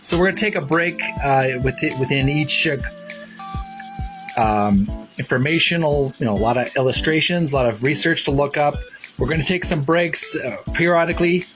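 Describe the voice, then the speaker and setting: A man hosting a casual retro gaming show-and-tell, explaining things in a halting, meandering way and sometimes reading aloud. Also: fairly high pitch, fairly bright tone, soft background music. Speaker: host of a retro gaming book video channel.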